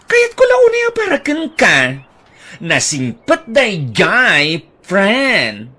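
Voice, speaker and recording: A woman voicing a lively, teasing female friend in an audio drama, full of exclamations. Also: mid-range voice, takes it slowly, exaggerated voice.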